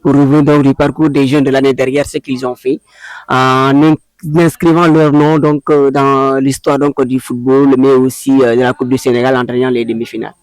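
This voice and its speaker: A man hosts an interview in a formal, hesitant way, with plenty of "euh" and "donc". His voice sits at a middle pitch and is recorded close and dry. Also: level tone, moderate pace, a little mellow, smooth, no echo, rough recording. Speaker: interviewer and local media host. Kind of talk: interview for local web media.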